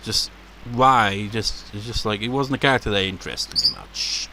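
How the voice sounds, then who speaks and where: A man with a deep voice giving casual, rambling commentary as a let's play host, laughing and swearing as he goes. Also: moderate pace, sharp tone, a little hiss or hum. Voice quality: naturally deep voice.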